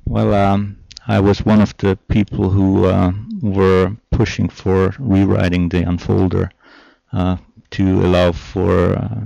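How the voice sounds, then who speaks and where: A man from Austria with an Austrian German accent, a native German speaker, answering interview questions in a measured, hesitant way with many fillers. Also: deep voice, flat delivery, gravelly, warm tone, dry recording, highs cut off. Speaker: interview guest, software developer.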